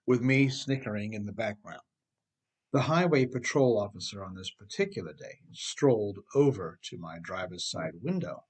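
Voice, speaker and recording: A man's voice: medium pitch, slightly rough. Middle-aged American man narrating an audiobook. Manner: emphatic, addresses listener with rhetorical questions. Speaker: author reading own audiobook. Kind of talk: scripted audiobook narration with conversational asides.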